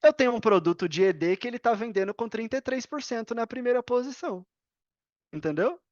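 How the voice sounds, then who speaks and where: A woman's voice: a little high, expressive, fairly quick, darkish tone, no room sound. Emphatic Brazilian woman, dry dull recording. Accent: Brazilian Portuguese. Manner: emphatic, opinionated, repeats key points, poses questions to self. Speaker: podcast guest, affiliate marketer. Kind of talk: casual podcast talk, explaining with examples.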